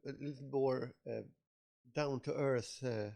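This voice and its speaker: Swedish male co-host, gravelly voice, hesitant and halting. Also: mid-range voice, fairly even intonation, dark tone. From Sweden. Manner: hesitant, many fillers and pauses. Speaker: podcast co-host. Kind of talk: casual chat.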